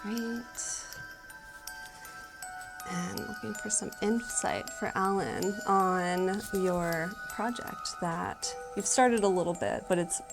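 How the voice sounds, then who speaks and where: A woman working as a tarot card reader, talking casually and tentatively as she gently explains a spiritual reading. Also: mid-range voice, slow pace, vocal fry, music in the background.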